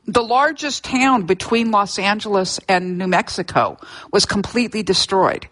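An older woman from Southern California, a scientist guest telling disaster history in a relaxed interview, with frequent restarts. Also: mid-range voice, moderate pace, low-quality recording. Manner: explanatory, with frequent restarts and fillers. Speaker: seismologist guest discussing her book.

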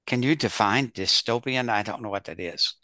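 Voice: mid-range voice, normal speed, pushed and bright, no room sound. A book group participant chatting casually. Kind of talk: casual chat.

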